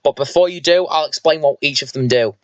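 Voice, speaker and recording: A man's voice: fairly high pitch, fairly quick, no echo, dull audio, natural voice. A man voicing an eager, vengeful squad leader and mech pilot in an amateur sci-fi story, informal and explanatory. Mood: proud, explaining.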